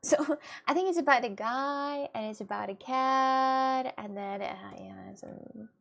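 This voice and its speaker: Young streamer, woman, slightly high voice, halting chatty English with lots of fillers and laughs. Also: creaky, a bit bright. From Russia. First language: Russian. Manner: hesitant, halting English with many fillers and giggles. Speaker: livestreamer. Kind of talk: casual live-stream chat with viewers.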